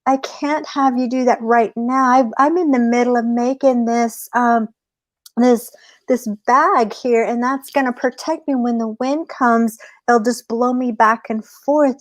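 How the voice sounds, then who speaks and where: A woman's voice: on the high side, steady tone, measured pace, no echo, pitched above the performer's own voice, natural voice. A woman voicing a sly male trickster rabbit who pleads and persuades in a storytelling. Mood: pleading.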